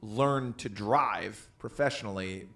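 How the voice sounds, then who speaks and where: A man's voice: on the low side, slow pace, vocal fry, fairly bright tone. A man chatting casually as a co-host on a podcast. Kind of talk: casual chat.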